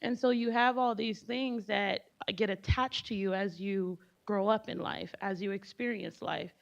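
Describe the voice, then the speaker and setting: A woman's voice: mid-range voice, fairly even intonation, unhurried, vocal fry. A woman whose first language is Haitian Creole, speaking earnestly and reflectively as a panelist. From United States, Haitian family. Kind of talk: panel discussion. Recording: muffled lo-fi event recording.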